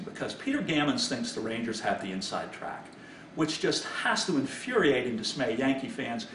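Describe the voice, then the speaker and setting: A man's voice: medium pitch, a bit bright. Middle-aged man, roomy distant sports commentary. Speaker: sports analyst. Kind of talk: informal sports commentary to camera. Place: small indoor home office. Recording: roomy, distant mic, background hiss.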